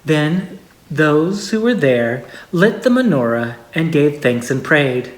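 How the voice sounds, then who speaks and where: A person reading a holiday story aloud in a measured storybook way with expressive pauses. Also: mid-range voice. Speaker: story reader. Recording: echoey room.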